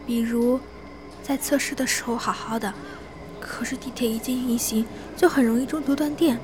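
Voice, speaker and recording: A woman acts a young passenger in a horror radio drama. Her high voice is wary and gossipy, set against clear ambient noise. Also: fairly even intonation, moderate pace, close to an everyday voice.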